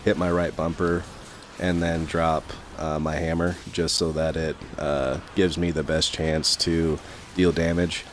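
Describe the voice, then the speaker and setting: A young man commentating his gameplay in a casual, rambling way full of fillers, his voice a little raspy from talking so long. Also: a bass voice, level tone, unhurried, hardly any pauses, a little hiss or hum. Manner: rambling, many fillers and restarts.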